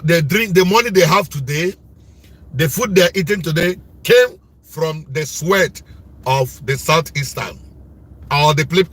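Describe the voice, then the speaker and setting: A man with a Nigerian accent giving impassioned political commentary in a loud voice, forceful and repetitive, like preaching. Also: a little high, slightly rough.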